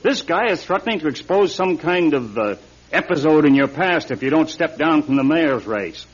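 A concerned law partner in vintage radio drama. He is direct and persistent, with a husky voice and lively, animated pitch. The recording sounds dull and old. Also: moderate pace. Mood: concerned, pressing. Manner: probing, direct, insistent questioning. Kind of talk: classic radio drama dialogue.

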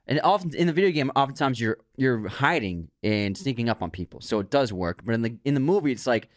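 A man co-hosting a podcast, chatting casually and giving animated opinions, often restarting words. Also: mid-range voice, quick pace, no echo, studio quality. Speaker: podcast co-host. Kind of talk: casual chat.

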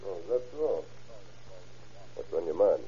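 A man's voice: low voice, sing-song, slow pace, mellow, breathy edge, heavily acted. An actor playing a cocky, sardonic car thief who talks in laconic, slangy tough-guy style in an old radio crime drama. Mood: sly, casual. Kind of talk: 1930s-40s radio crime drama acting. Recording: tinny, band-limited old radio broadcast.

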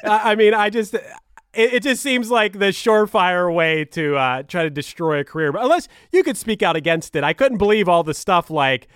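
Higher-voiced man laughing through his words. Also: normal speed, clear, resonant tone, no echo, studio quality. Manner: animated, swears, laughs mid-sentence. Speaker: podcast co-host. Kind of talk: casual chat.

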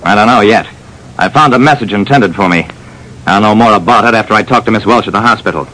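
A man playing a brisk, self-assured private detective who narrates an old radio drama. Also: fairly low pitch, sharp tone, low-quality recording. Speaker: narrator and lead. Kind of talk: 1940s radio acting.

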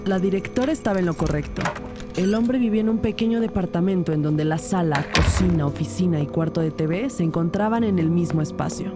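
Una mujer como detective narradora, voz algo grave. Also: female voice, on the low side, a little fast, over music, close to an everyday voice. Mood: observant, matter-of-fact. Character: female detective narrating her investigation, observant, measured. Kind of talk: scripted first-person narration of crime story.

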